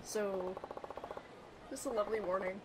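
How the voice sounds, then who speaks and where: A woman's voice: medium pitch, steady tone, a little slow, noisy background. A woman with a cold, sounding stuffy, making wry, teasing remarks while watching a video game. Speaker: let's play co-commentator. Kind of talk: casual game commentary.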